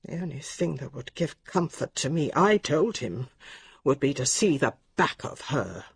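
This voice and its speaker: A sick older English lady speaks from her bed in a radio drama. Her voice is frail, very husky and gravelly, slow and halting, and it swings between querulous command and wandering confusion. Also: sits low, very animated, exaggerated voice. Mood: querulous, scornful. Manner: halting, querulous, imperious upper-class speech. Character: ailing bedridden older lady, sharp-tongued and wandering.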